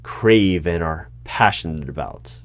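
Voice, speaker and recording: Male performer as cautious bureau hiring manager, ASMR roleplay. Deep, slow, hesitant, dryly polite. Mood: polite, bemused. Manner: hesitant, deadpan, measured. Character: wary, polite hiring manager of a secret bureau interviewing a dragon. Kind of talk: one-sided ASMR interview roleplay. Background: computer keyboard typing and clicks.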